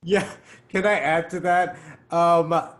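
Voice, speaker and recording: A man who directs theatre, chatting in an animated, playful way on an online panel. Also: on the high side, takes it slowly. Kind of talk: casual panel discussion. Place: remote video call.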